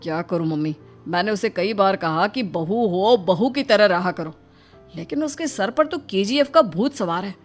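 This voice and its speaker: Woman voicing an animated, exasperated young husband. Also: medium pitch, normal speed, soft background music, lightly acted. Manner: weary, grumbling. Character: tired, exasperated young husband, complaining to family. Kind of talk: cartoon moral story acting.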